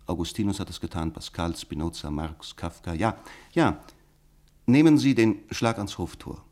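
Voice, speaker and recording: A radical philosopher in a radio play, with a deep voice. He lectures with fervent, emphatic conviction and builds his points rhetorically, resolute and didactic. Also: lively intonation, moderate pace, a little mellow. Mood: insistent, fervent. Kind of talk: German radio play acting.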